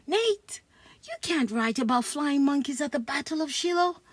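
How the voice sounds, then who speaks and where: Woman voicing an exasperated, high-voiced comic-strip schoolboy. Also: animated, normal speed, no echo. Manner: blunt, incredulous. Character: exasperated, sensible schoolboy friend.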